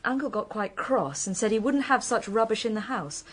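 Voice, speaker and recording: A woman's voice: a little high, expressive, moderate pace, slight background noise, lightly acted. An actress playing a chatty, artless young British woman in a radio drama, speaking openly and politely. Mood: chatty, wistful. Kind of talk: BBC radio drama acting.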